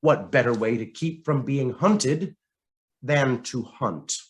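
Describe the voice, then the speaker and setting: A man reading a written essay aloud as scripted narration, in a measured, dramatic way with deliberate pauses. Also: mid-range voice, expressive. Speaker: reader of a written article.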